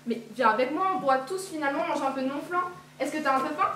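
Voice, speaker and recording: A chatty, trusting little girl who rambles and repeats herself, voiced by a teenage girl. Her voice is high and quick. It is heard from a distance in a reverberant school hall. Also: bright, forceful tone, a little hiss or hum. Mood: innocent. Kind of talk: school stage play. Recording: echoey hall from far off.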